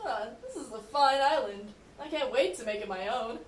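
A woman's voice: a little high, expressive, moderate pace, natural voice. A young-sounding woman voicing scheming, bumbling comic men in an amateur puppet show, with a hesitant, exaggerated delivery. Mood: agreeable, scheming. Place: indoor room with puppet stage. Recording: echoey room, distant mic, faint noise.

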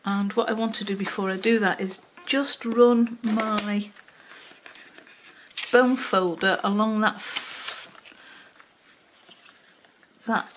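An older woman with a Wirral accent talks through a craft project at her table, voice at an ordinary middle pitch. She chats loosely, filling pauses with ums and muttering to herself as she works. A faint hiss sits under the recording. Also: fairly even intonation. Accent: Wirral, mix of Welsh and Liverpool. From Wales. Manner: chatty, many ums, talks to herself while working. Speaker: craft tutorial host. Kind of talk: casual craft tutorial. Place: home craft table, indoors. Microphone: overhead camera, hands only.

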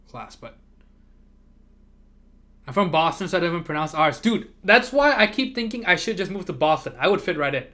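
Livestream host, man, first language Chinese, talkative and repetitive, bright fairly high voice. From moved to America from abroad. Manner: rambling, repeats words, laughs often. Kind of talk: casual chat with chat readers.

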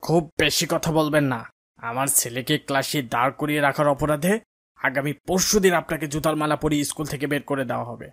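A man's voice: fairly high pitch, fairly quick, fairly bright tone. A man playing an arrogant, threatening local councillor and angry father in a cartoon. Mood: furious, commanding. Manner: haughty, threatening, scolding. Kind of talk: cartoon dialogue acting.

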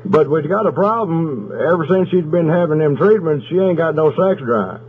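A man's voice: fairly high pitch, normal speed, slightly rough. A man playing an aggrieved, belligerent country husband on a prank phone call, with a Southern accent from Oklahoma and a folksy, rambling way of talking. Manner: folksy, rambling, deadpan menacing. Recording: noisy, tinny telephone line.

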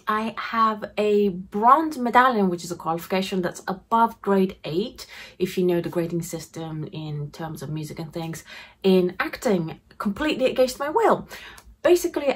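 A woman's voice: medium pitch, breathy edge. Chatty Japanese-born woman. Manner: chatty and animated, laughs often. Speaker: craft YouTuber. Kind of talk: casual talk to camera. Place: home craft room, indoors. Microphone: camera facing her at desk distance.

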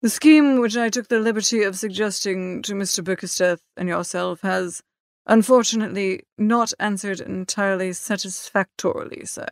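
A woman reading the part of a polished, deferential gentleman's manservant in a formal, measured manner for an audiobook. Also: medium pitch, creaky, no room sound, clean studio sound, natural voice. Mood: calm, deferential.

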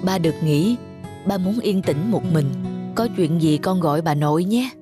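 A man's voice: fairly high pitch, normal speed, close to an everyday voice. A man voicing a weary widowed father in a cartoon, subdued and reflective in his grief. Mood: grieving. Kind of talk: cartoon voice acting. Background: music.

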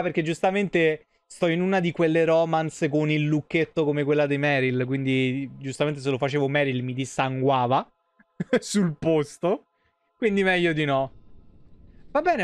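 A man's voice: fairly high pitch, unhurried, clear, resonant tone, no echo. A man around his thirties, giving casual, slangy, sweary live commentary on a game. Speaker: game streamer. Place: indoor streaming room at home.

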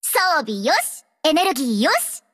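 A woman's voice: high voice, sing-song, a little slow, a bit bright, heavily acted. A woman voicing a brave, earnest young half-elf girl who guards others, in resolute, anime-style video game hero lines. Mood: determined, earnest. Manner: resolute, earnest, clearly projected delivery. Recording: studio voice over game music. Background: game music.

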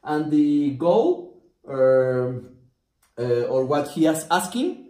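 Man, 40s, native Spanish speaker, slightly high voice, halting, many fillers, echoey room. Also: sing-song, mellow. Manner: halting, many fillers and restarts, acts out client dialogue. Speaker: systemic consultant teaching a method. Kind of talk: explaining a method at a whiteboard. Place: small room with whiteboard, indoors.

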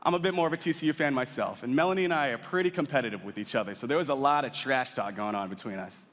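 A man's voice: medium pitch, rapid-fire, fairly bright tone. A man giving a scripted product keynote, upbeat and salesy, with punchy lists. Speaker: keynote presenter. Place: large convention hall stage. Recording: reverberant hall sound.

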